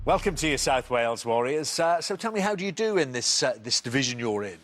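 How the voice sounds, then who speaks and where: A man's voice: medium pitch, very animated, a bit bright, faint music behind. A man hosting a TV quiz show, reading out questions and bantering lightly with contestants. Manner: brisk, reads questions clearly, light banter.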